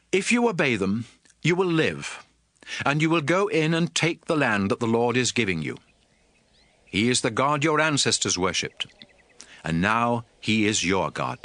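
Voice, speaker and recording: A man's voice: medium pitch, very animated, unhurried, long pauses, fairly bright tone, no echo, studio quality. A man playing a stern, aged prophet exhorting his people in a solemn, emphatic dramatized scripture reading. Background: music.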